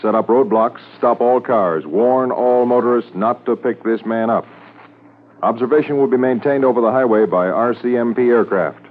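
Police dispatcher played by a man, medium-pitched, clipped, commanding, narrow vintage radio sound with faint noise. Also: normal speed, lightly acted. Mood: urgent, commanding. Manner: emphatic, clipped, repetitive announcing. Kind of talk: 1950s radio drama acting. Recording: narrow noisy 1950s radio recording.

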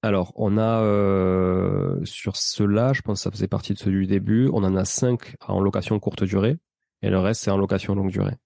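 A man's voice: low voice, little pitch movement, moderate pace, vocal fry, mellow, no echo. A man speaking as a podcast guest in a casual interview, explaining his investing experience in an informal, slangy way. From Portuguese family background.

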